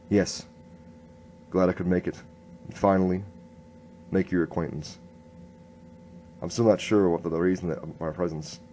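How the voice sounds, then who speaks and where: An actor playing an earnest, halting noir police inspector who narrates his case in a radio drama. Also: low-pitched, dark tone, slight background noise, lightly acted, low-quality recording. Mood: halting, awkward. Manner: hard-boiled first-person storytelling, halting.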